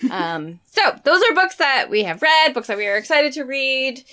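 A giggly, excitable woman in her forties chats about books on a podcast. Her voice sits fairly high and swoops up and down as she talks. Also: moderate pace, clear, resonant tone. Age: 40s. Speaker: podcast co-host. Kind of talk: casual book chat.

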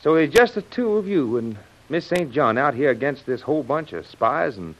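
Lively, folksy American adventurer. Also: male voice, medium pitch, normal speed. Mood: curious, impressed. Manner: folksy, colloquial, questioning. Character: plain-spoken American adventurer, curious, gruffly admiring. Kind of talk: 1940s radio adventure acting. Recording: dull, band-limited old radio recording.

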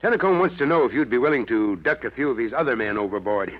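An actor playing a wry, commanding fire chief in an old radio drama. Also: male voice, mid-range voice, expressive, quick pace, natural voice. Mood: amused, teasing. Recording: muffled vintage radio recording.

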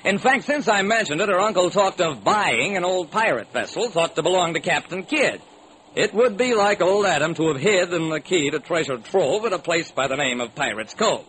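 A man's voice: high voice, lively intonation, moderate pace, fairly bright tone. An actor playing a wry private detective who narrates his case in theatrical rhyme, in old-time radio style. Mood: brisk storytelling. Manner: theatrical, sing-song rhyming delivery. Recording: noisy vintage radio recording.

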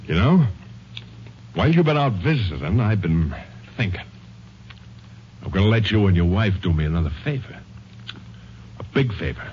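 An actor playing a menacing, sarcastic convict killer with tough gangster slang in a 1950s radio crime drama. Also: male voice, low-pitched, very animated, moderate pace, long pauses. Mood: mock friendly. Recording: muffled, noisy vintage radio recording.

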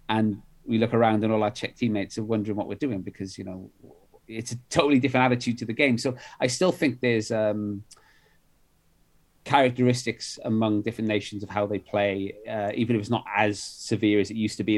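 A middle-aged man from Wales, an author guest in a conversational interview, talking in long rambling runs with many restarts and fillers. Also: on the low side.

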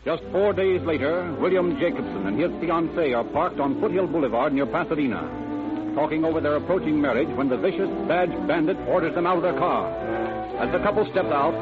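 A man acting as a grave radio crime narrator with a slightly high pitch. He delivers scripted lines formally and dramatically, over music on a narrow, old radio recording. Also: animated, brisk, close to an everyday voice. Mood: grave, dramatic.

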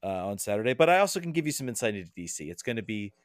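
A man guesting on a casual soccer podcast, talking in halting bursts with many restarts and wry laughs. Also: fairly low pitch, big pitch swings, no room sound, clean studio sound.

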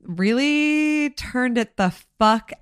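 A slightly hoarse woman co-hosting a podcast, chatting casually, swearing freely and filling with likes and ums. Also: high-pitched, lively intonation, drawn-out words, no room sound, studio quality. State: a little hoarse. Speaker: podcast co-host. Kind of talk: casual chat.

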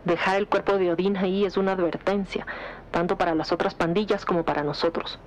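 A woman's voice: lowish voice, fairly even intonation, a little fast, a little hiss or hum, close to an everyday voice, low-quality recording. A woman playing a serious, analytical detective who briefs a colleague matter-of-factly in an audio drama. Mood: grave, analytical. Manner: matter-of-fact, grave.

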